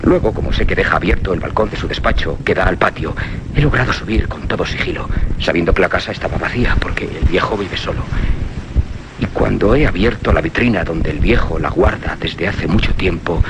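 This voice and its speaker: An actor playing an earnest, rattled young medical student in a radio drama, with a Castilian Spanish accent and an insistent delivery. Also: male voice, low-pitched, brisk, noisy background. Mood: tense, secretive. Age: young adult.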